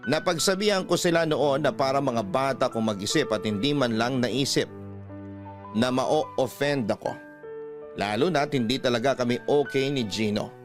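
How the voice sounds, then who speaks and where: A man's voice: mid-range voice, normal speed, over music. A man narrating a scripted first-person story as a radio drama host. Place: dry studio with music behind.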